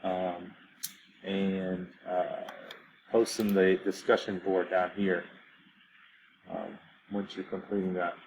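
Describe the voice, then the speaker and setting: A man's voice: low voice, level tone, takes it slowly, pauses often, highs cut off, slight background noise. A man in his thirties, a teacher, giving a casual recorded introduction to his class, with many ums and uhs. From grew up on US military bases in Germany.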